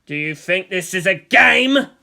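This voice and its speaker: A man voicing a cold, menacing middle-aged commander and father in a story, contemptuous and deliberate as he threatens. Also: high voice, lively intonation, gravelly, sharp tone, full character voice.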